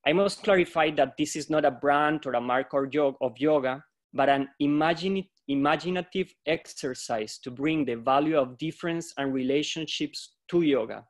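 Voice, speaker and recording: A man from Colombia, a yoga teacher, giving a reflective, measured talk on a video-call panel. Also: on the high side. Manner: reflective, measured, quotes philosophers.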